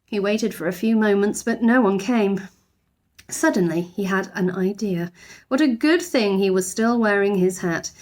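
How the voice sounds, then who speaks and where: A woman's voice: medium pitch, measured pace, a little mellow. A woman narrating a children's storybook expressively, like a teacher reading aloud.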